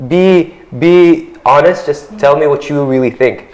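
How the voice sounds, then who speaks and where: A young adult man hosting a casual panel discussion, asking curious questions in a relaxed, slangy way. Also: a little high, unhurried, rough recording. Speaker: host.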